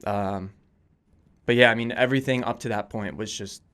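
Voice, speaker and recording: A man in his twenties answering interview questions casually, telling anecdotes in informal, slightly halting phrasing. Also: fairly low pitch, fairly even intonation, fairly bright tone, smooth. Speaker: podcast guest, volunteer firefighter and police dispatcher.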